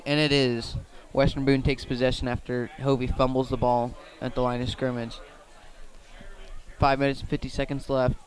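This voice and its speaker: A male play-by-play commentator describes a football game live for radio. His voice sits in a medium register with little melodic movement. He reports in short, measured, matter-of-fact phrases. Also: mid-range voice, flat delivery, low-quality recording.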